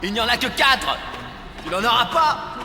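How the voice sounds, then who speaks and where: An actor playing an excitable, greedy male sailor in a video game, with echoing reverb. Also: extremely high pitch, moderate pace, noisy background. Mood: excited, greedy.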